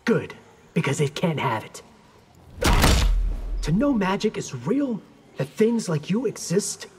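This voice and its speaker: A man's voice: sits high, big pitch swings, takes it slowly, very noisy, full character voice. An actor playing a brave, cocky young male ninja in a video game, with casual, confident banter. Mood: cocky, defiant. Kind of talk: video game character acting.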